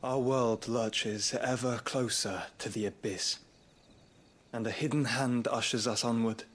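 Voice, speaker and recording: An actor playing a gentle, solemn young nobleman, weary and wounded, speaking formally in a soft, breathy voice near a whisper. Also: medium pitch, fairly even intonation. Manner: measured, formal, reflective speech. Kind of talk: game cutscene acting.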